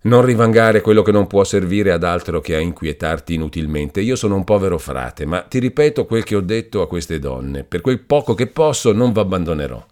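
Brief: solemn older friar, grave and firm, raised deep voice, as a male narrator voices him. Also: animated, a little fast, few pauses, darkish tone. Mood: consoling. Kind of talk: audiobook character voice.

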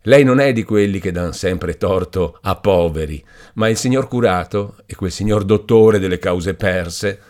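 A man's voice: low-pitched, measured pace, darkish tone. A man reading an audiobook voices an impulsive, agitated young working man who speaks emotionally and stumbles over his words. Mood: excited. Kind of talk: audiobook character voice.

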